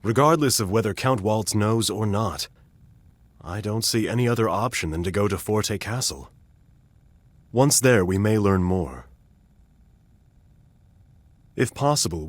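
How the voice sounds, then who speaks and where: Low, husky, earnest gentleman adventurer. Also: expressive, no echo. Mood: earnest, reasoning. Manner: formal, measured wording. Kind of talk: video game cutscene acting.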